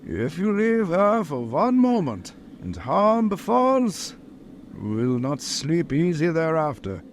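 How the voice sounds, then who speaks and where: An actor playing a grave, ominous old Dutch professor with halting, solemn phrasing in a radio drama. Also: male voice, fairly high pitch, huge pitch swings, noisy background, full character voice. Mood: ominous warning. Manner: halting, foreign-sounding syntax, solemn. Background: music.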